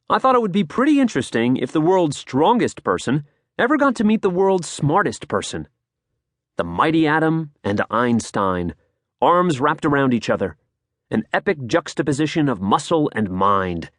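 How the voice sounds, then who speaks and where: An American man reading a nonfiction audiobook in a polished, expressive storytelling manner, close to a studio microphone. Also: medium pitch, no room sound. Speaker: audiobook narrator. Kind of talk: scripted nonfiction audiobook narration.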